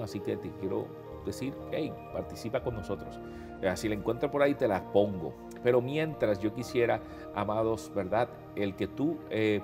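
A man in his fifties with a Puerto Rican accent, speaking as a pastor to his online viewers, sounding nasal after a nose procedure and talking in a relaxed, chatty way with many fillers. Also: fairly low pitch. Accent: Puerto Rican Spanish. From Puerto Rico. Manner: warm, conversational, addresses viewers as beloved, frequent fillers. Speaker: pastor hosting an online devotional show. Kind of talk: casual devotional talk to viewers. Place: indoors before a holiday backdrop.

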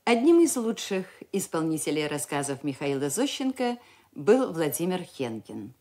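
A woman radio announcer reading a formal scripted introduction with expressive delivery. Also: low voice, moderate pace.

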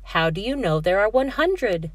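A woman's voice: medium pitch, big pitch swings, normal speed, dry recording, close to an everyday voice. A woman voicing a cheerful, encouraging female flamingo schoolteacher in a children's storybook. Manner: bright, encouraging teacherly exclamations.

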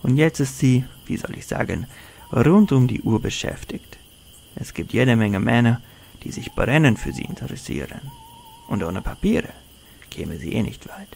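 An actor plays a sinister male criminal in a crime audio drama. His gravelly voice is cold and self-assured, with faint noise and night insects behind it. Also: mid-range voice, big pitch swings, normal speed, a little mellow. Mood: smug, sinister. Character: cold, menacing hired criminal, a smug kidnapper.

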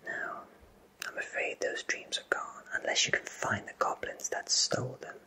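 A man in his thirties voicing a kindly fantasy potion shopkeeper in a soothing, breathy ASMR whisper. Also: bright, forceful tone, poor audio. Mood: confiding, gentle. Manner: slow, soothing, reassuring. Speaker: ASMR roleplayer.

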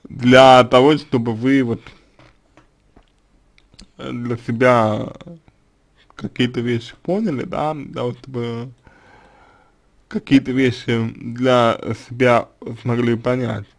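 A man's voice: medium pitch, steady tone, takes it slowly, gravelly, pauses often. A man giving spoken advice to a listener, hesitant, with many fillers and restarts. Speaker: psychologist answering a question.

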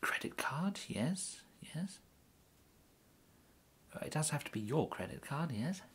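A man in his thirties with an English accent playing a polite, fussy gentleman tailor in an ASMR roleplay, murmuring hesitantly and often slipping into a breathy whisper. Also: a little high, sing-song, unhurried, pitched above the performer's own voice, exaggerated voice. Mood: courteous, pleased. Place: indoors, plain small room, close to camera.

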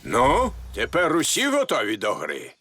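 A man's voice: mid-range voice, huge pitch swings, a little slow, heavy background noise. An actor playing a jolly elderly grandfather pig, proud of his garden, in a children's cartoon dub. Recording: music behind the voice.

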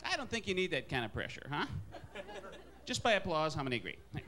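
A man from Wisconsin doing stand-up in a comedy club, with a nasal Wisconsin accent and an animated delivery full of put-on voices. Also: a little high, fast. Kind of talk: stand-up set. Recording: live club with crowd and noise. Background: audience laughter.

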